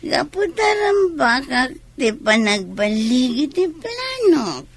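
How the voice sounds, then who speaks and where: Slow-talking, scheming seductress. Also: female voice, sits high, big pitch swings, slight background noise, full character voice. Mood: scheming, coaxing. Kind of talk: radio drama acting.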